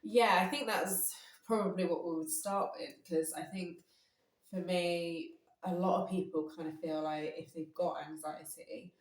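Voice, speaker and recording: A woman co-hosting a casual podcast chat, a bit hoarse from a cold, explaining at length with frequent ums and laughs. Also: fairly low pitch, steady tone, measured pace, recorded from a distance. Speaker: podcast co-host. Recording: echoey room, voice off-mic.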